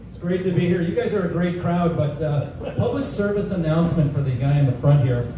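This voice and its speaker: A man's voice: on the high side, brisk. A young Canadian man doing a live stand-up set, casual and full of "uh" fillers, chuckling at his own jokes. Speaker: stand-up comedian. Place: pub comedy room, live audience. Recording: echoey bar room, distant mic, dull sound, noisy.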